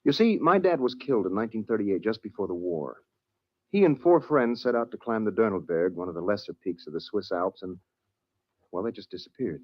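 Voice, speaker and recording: An earnest young man telling his story on a 1940s radio drama. His voice is fairly low and reflective. The sound is dry and slightly muffled. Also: animated, brisk, dry recording. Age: young adult. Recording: dull old radio recording.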